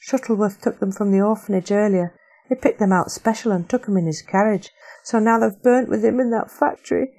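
A woman's voice: medium pitch, fairly quick, dark tone, voice pushed up, natural voice, rough recording. A woman voicing a desperate young working woman searching for her brothers in an audiobook. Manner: pleading, urgent.

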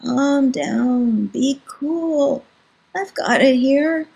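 A woman voicing an earnest, timid young boy who speaks quietly and slowly to his older sister. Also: sits high, vocal fry, pitched above the performer's own voice, heavily acted. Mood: nervous.

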